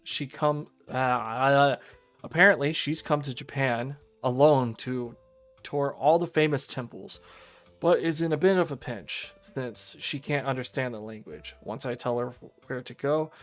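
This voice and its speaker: A man reading a visual novel aloud on a livestream, narrating and stumbling over lines with casual asides. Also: mid-range voice.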